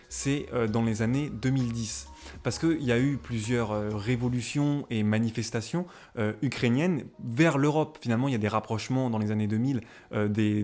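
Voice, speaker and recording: A man's voice: medium pitch, darkish tone. A young man hosting a history video, explaining events casually with many hesitations and fillers.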